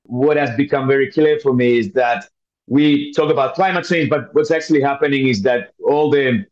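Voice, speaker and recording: A man's voice: mid-range voice, moderate pace, a little mellow. Finnish-accented man on dull audio. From Finland. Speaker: podcast guest, scientist. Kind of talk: conversational interview answers.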